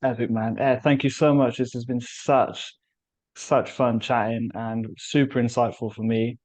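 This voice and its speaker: A man's voice: medium pitch, fairly even intonation, measured pace, darkish tone. A man hosting a casual interview, friendly and enthusiastic as he asks questions and thanks his guest. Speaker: interviewer, channel host.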